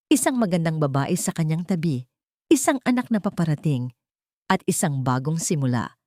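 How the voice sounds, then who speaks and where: A woman's voice: sits low, warm tone, no room sound, clean studio sound. A woman with a Filipino accent narrating a scripted, dramatic romance story.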